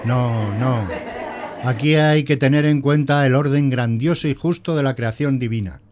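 A man's voice: medium pitch, moderate pace, darkish tone, natural voice. A man playing a prim, pedantic male schoolteacher who lectures didactically in a scripted audio drama. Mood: earnest explaining. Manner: didactic, pompous.

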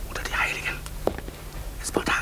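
A man's voice: pushed and bright, whispered. A man playing a grim, fanatical cobbler who guards a church, in a brooding 1950s German radio drama style that turns to ranting. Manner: brooding, halting, rising to ranting zeal. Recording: noisy 1950s radio recording.

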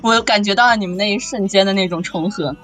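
A woman's voice: mid-range voice, fast talker, faint music behind. A woman voice actor talking casually and expressively in a panel chat about audio drama. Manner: animated, expressive. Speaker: voice actor discussing her audio drama role.

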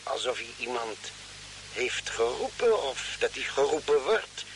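An actor playing a cautious, loyal expedition doctor in an old-fashioned radio space drama. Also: male voice, medium pitch, sing-song, a little slow, fairly bright tone, a little hiss or hum, low-quality recording. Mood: measured, firm. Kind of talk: 1950s radio drama acting.

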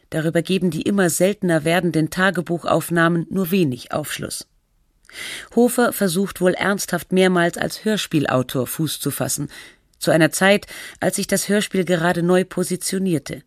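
A woman playing the sober, measured narrator of a literary radio documentary. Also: fairly low pitch, dry recording, studio quality, lightly acted. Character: composed documentary narrator of a fictional radio feature.

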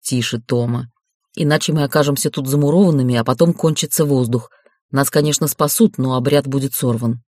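A man voicing a calm, commanding yet tender raven lord in an audiobook, speaking in a hushed, soothing, measured way. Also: mid-range voice, dry recording, studio quality, pitched below the performer's own voice, close to an everyday voice. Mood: soothing, urgent.

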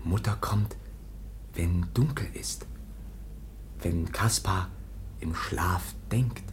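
A childlike foundling in a radio play, slow, broken speech, soft faint ambience. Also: male voice, low-pitched, a little hiss or hum. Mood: hushed whisper. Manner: halting broken simple speech, often whispering. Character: Kaspar Hauser, a childlike young man with broken speech.

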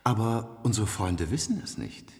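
A man acting a complacent, cultured ruler of a future elite in a 1970s radio play, suave, measured and condescending, in an echoey hall. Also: on the low side, sing-song. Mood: smug musing.

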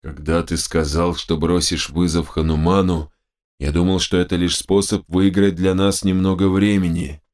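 A man voicing a solemn, measured chief shaman in a fantasy audiobook. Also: low-pitched, little pitch movement, darkish tone, close to an everyday voice. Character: grave male chief shaman, solemn, awed.

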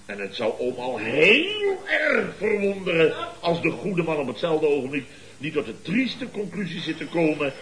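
An actor playing a blustering, boastful contractor boss in a Dutch radio comedy, with a pompous, theatrical, lecturing manner. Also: male voice, on the high side, wildly swooping pitch, moderate pace, a crowd in the background. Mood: smug, boastful. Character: blustering, boastful contractor boss and uncle, scheming wheeler-dealer.

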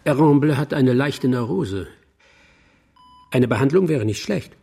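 A man's voice: mid-range voice, animated, measured pace, creaky, dark tone, husky, heavily acted. Composed, clinical male doctor. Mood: clinical, detached. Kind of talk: German radio crime drama acting.

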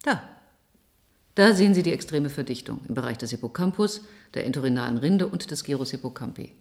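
A low-pitched female doctor in a radio drama. She is composed and grave, and lays out her findings in a measured, clinical manner. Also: lively intonation, darkish tone. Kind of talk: German radio drama acting.

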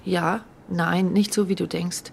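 A woman's voice: fairly low pitch, steady tone, measured pace, creaky, slight background noise. An actress playing a weary, troubled career woman, subdued and confiding, in a naturalistic radio drama. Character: weary, troubled female banker.

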